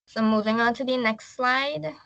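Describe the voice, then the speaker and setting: A woman's voice: on the high side, moderate pace, creaky, fairly bright tone, no echo, muffled recording. A woman from Trinidad with a Trinidadian accent, giving a class slide presentation. She reads from slides, then explains in loose everyday talk.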